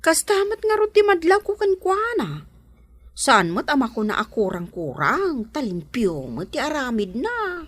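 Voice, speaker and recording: A woman playing a chatty, fussing, excitable household woman with an Ilocano accent in a radio drama. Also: high voice, a little slow, no echo, full character voice. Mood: chatty, musing.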